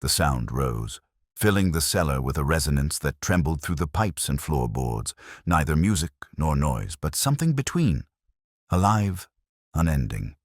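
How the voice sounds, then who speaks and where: A man narrating a detective story in a dramatic, measured and suspenseful way. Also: extremely low pitch, very animated, no room sound, clean studio sound. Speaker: audiobook narrator.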